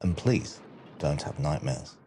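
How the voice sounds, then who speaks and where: A man narrating a scripted countdown documentary with a measured, dramatic delivery. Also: extremely low pitch, animated, dark tone. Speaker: narrator and channel host.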